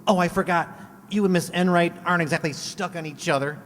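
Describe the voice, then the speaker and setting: A man's voice: high voice, brisk, fairly bright tone, big-room sound, a little hiss or hum. A man playing an eager, talkative teenage schoolboy in a radio comedy, earnest and gushing. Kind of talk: 1940s radio comedy acting.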